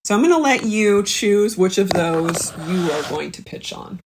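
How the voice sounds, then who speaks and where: Mid-pitched woman, casual podcast guest judge. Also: lively intonation, a little slow, noisy background. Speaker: guest comedian judging pitches. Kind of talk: casual game-judging chat.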